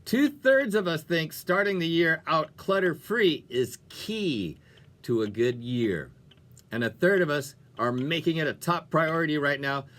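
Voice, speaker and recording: A man presenting on music radio as a DJ, with an animated, folksy, playful delivery and chatty talk breaks. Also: a little high, measured pace. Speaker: radio DJ and show host.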